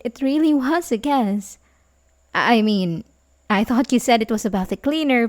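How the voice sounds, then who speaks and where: A woman's voice: fairly high pitch, darkish tone. A woman playing an obsessive, manipulative young babysitter who feigns sympathy, in an intimate, hesitant ASMR roleplay style. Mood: flustered, defensive. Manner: hesitant, stammering, nervous chuckles, sighs. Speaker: audio roleplay performer.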